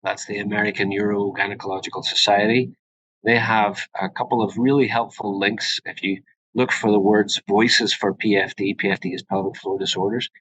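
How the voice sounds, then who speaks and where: A man's voice: fairly low pitch, flat delivery, moderate pace, a bit bright, no echo, poor audio. A middle-aged man with a Northern Irish accent giving an informal webinar presentation as a medical specialist, in a conversational, slightly hesitant and self-deprecating manner. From Northern Ireland. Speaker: presenter, urogynecologist.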